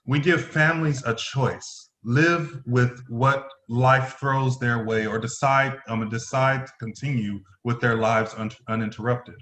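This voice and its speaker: A man's voice: mid-range voice, fairly even intonation, a bit bright, slight background noise, lightly acted. A man playing a stern, echoey company executive. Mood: formal, explaining. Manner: halting, stumbling over phrases, formal. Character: stern, intimidating middle-aged male company executive. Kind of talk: play reading. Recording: roomy echoing voice.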